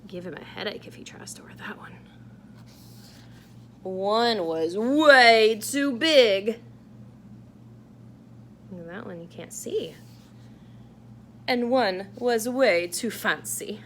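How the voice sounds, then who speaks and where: A woman reading a picture book aloud to young children in a playful, animated way, asking exaggerated wondering questions. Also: medium pitch, slow, pauses often, a little hiss or hum. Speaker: children's read-aloud host.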